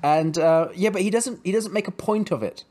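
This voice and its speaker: A man's voice: high voice, normal speed, a little mellow. A man co-hosting a podcast, chatting casually about a book chapter, with many restarts and fillers and a dry sense of humour. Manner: hesitant, full of fillers and restarts, dry jokes. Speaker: podcast co-host.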